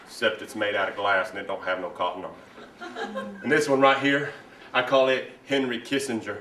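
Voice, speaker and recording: A man acts out a whiny Southern mama's boy with a low but bright voice. He is timid and painfully earnest, halting through his lines like an overgrown child on a comedy stage. The mic is distant and the room rings. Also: steady tone. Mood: proudly earnest. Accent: American South. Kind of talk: comic stage monologue performance. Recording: roomy, distant mic with hiss.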